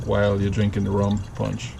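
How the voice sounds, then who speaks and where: A man's voice: fairly low pitch, completely flat delivery, brisk, gravelly, dark tone, slight background noise. A man from Jamaica with a Jamaican accent, casually talking through a drink recipe in a rambling, self-correcting way. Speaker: home cocktail vlogger. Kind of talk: casual step-by-step recipe demo.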